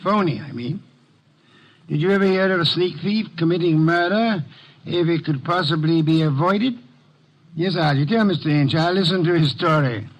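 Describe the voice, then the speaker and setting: An actor playing a ponderous, imperious detective in an old radio drama, dry, formal and deliberate in his questioning. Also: a little high, dull audio, slight background noise, natural voice. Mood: dry, commanding. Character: ponderous, imperious, brilliant bulky private detective. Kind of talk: 1940s-50s radio detective drama acting.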